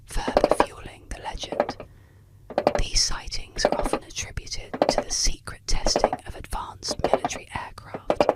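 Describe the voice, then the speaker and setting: A woman narrating a script in a soft, breathy ASMR whisper, slow, hushed and soothing. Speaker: ASMR host.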